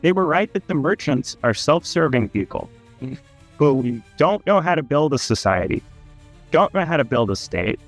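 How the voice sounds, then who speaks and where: A man with a voice a little higher than average lays out his opinion in an explanatory way. Faint music sits behind him. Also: sing-song, normal speed.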